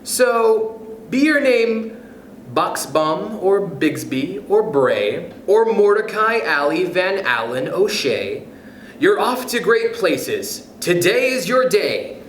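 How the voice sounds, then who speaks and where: A man reading a children's picture book aloud in a playful, expressive way, chuckling between passages, then speaking to young listeners himself. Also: high voice, slow, a little hiss or hum. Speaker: youth club storyteller. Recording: echoey room.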